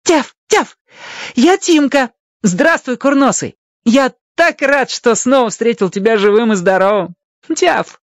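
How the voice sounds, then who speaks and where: A performer playing an eager, friendly young boy in a radio play, excitedly yelping like a fox between phrases. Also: medium pitch, a little slow, no room sound, studio quality, voice pushed up. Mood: joyful, excited.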